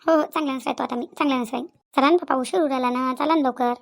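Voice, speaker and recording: A woman's voice: high-pitched, fairly even intonation, rapid-fire, creaky. A woman playing an aggrieved middle-aged village mother-in-law in a cartoon comedy, complaining repetitively in a self-pitying way, with a Vidarbha Marathi accent. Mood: pleading. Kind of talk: cartoon family comedy dialogue.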